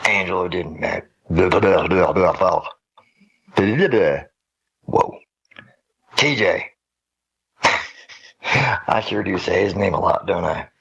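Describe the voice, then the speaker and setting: Casting: male voice actor, lowish and gravelly, forceful edge, loose rehearsal takes. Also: slow, long pauses, highs cut off. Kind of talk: recording takes with casual asides.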